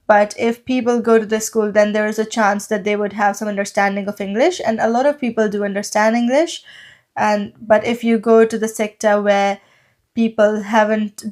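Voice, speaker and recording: A woman's voice: medium pitch, a little mellow. A young adult woman with a Pakistani accent, a native Urdu speaker co-hosting a casual podcast and explaining at length with many "like" and "so" fillers. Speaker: podcast co-host.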